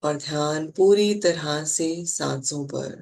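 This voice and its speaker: An Indian meditation guide speaking calmly and soothingly in short, measured phrases with pauses between them. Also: medium pitch, creaky, poor audio.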